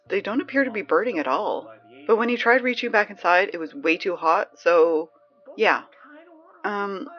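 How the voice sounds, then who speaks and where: A woman playing a flustered, bossy high school girl in an audio drama, halting and easily exasperated, heard over a baby monitor. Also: fairly bright tone. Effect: baby monitor transmission. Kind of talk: naturalistic audio drama acting. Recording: dull baby-monitor sound with music.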